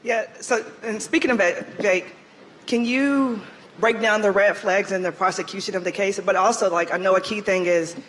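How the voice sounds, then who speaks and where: A man's voice: high-pitched, steady tone, normal speed, a bit bright, a little hiss or hum, poor audio. A man moderating a live festival panel, asking questions casually with fillers like "like.". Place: festival stage before an audience.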